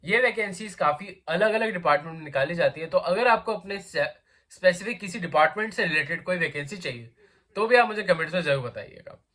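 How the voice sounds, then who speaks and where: A man's voice: sits high, animated, a little fast, bright, forceful tone. Hindi-speaking man with a sore throat. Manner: chatty, repetitive fillers, conversational address to viewers. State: sore throat, coughing now and then. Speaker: job vacancy channel host. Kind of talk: casual explainer, Hindi mixed with English.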